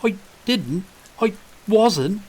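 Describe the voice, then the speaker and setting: A man's voice: high-pitched, expressive, extremely slow, darkish tone, breathy edge, pitched above the performer's own voice, full character voice. A man voicing a frightened woman thief in an audiobook, stammering and pleading.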